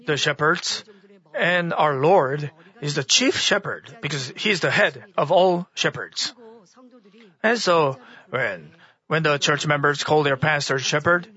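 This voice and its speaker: A man with a hoarse voice delivers a sermon in a halting way, often restarting his sentences. His voice sits a little high and sounds bright and sharp. The recording is dull and lo-fi. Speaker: church speaker. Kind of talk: sermon-style teaching.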